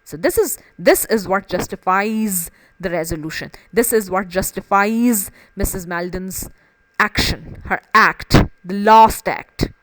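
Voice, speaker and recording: A woman's voice: medium pitch, measured pace, a bit bright, no echo, poor audio. A woman literature teacher explaining a play in an emphatic way, often repeating and rephrasing phrases to stress them.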